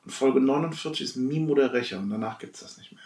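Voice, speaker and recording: A man's voice: medium pitch, quick pace, a little mellow. A man chatting casually as a podcast co-host about nerdy interests. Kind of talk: casual nerd chat. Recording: roomy echo, distant microphone.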